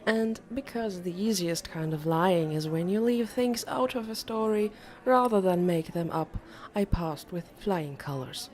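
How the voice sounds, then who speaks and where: A woman's voice: fairly low pitch, lively intonation, measured pace, darkish tone. A woman reading a first-person novel as an audiobook narrator.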